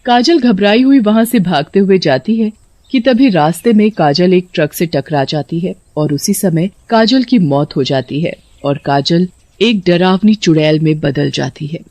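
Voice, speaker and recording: A woman's voice: lowish voice, animated, unhurried, dark tone, no echo. A woman narrator reading a scripted story.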